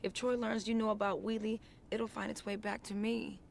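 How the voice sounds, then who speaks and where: A woman's voice: medium pitch, level tone, moderate pace, creaky, a little hiss or hum, close to an everyday voice. Woman playing a coaxing gangster's girlfriend. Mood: cautious, coaxing. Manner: intimate, coaxing.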